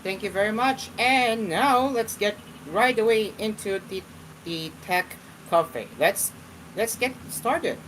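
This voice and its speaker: Young man, casual online host, halting and repetitive, clear background noise. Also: high-pitched, fairly bright tone, low-quality recording. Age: 20s. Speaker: host of an online talk show. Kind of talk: casual live commentary and reading aloud.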